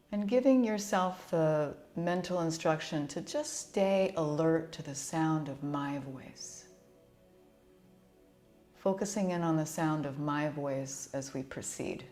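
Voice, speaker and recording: A woman with a low-pitched voice leads a guided relaxation practice. She speaks as a yoga nidra instructor, giving gentle, unhurried instructions in a soothing manner. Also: faint music behind.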